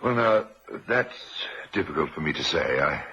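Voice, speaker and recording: An actor playing a formal, earnest doctor in a vintage radio drama, speaking in a measured, hesitant way. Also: male voice, very deep voice, sing-song, sharp tone, breathy edge, heavily acted. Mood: reflective. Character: genteel male doctor, earnest old acquaintance of the victim. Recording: muffled vintage radio recording.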